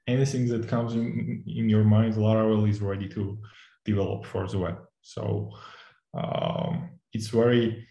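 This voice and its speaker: A man's voice: on the low side, level tone, measured pace, gravelly, warm tone. Georgian man, hesitant, echoey room. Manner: hesitant, many fillers and restarts, laughs often. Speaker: instructor. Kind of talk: interview answers.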